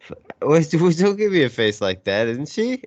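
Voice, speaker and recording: A man's voice: fairly high pitch, sing-song, moderate pace, darkish tone. A man co-hosting a podcast in casual banter, wisecracking and informal, recorded with a roomy, slightly dull sound. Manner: wisecracking, informal, salty language. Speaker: podcast co-host.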